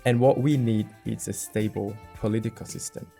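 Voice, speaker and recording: A man, giving measured, reflective answers as an interview guest. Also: fairly low pitch, steady tone, vocal fry, mellow, soft background music. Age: 30s. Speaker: parliamentary candidate, interview guest.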